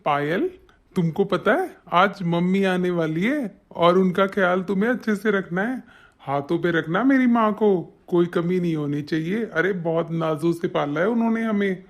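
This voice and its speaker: A man's voice: high-pitched, lively intonation, moderate pace. A man voicing a caring adult son and husband in a family cartoon, speaking in an earnest, instructing way. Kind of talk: Hindi family cartoon story dialogue.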